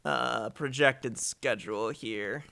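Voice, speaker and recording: A man chats informally as host of a listening stream. His mid-pitched voice is bright and very clear, and he often interrupts himself to think aloud. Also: slow. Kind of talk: casual live-stream chat.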